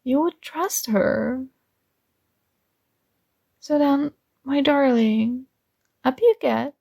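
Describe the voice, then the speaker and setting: A woman's voice: high-pitched, creaky, dry recording, studio quality, exaggerated voice. A woman playing a possessive, sweetly controlling princess. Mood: coaxing. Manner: soft, slow, coaxing and teasing. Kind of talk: ASMR roleplay.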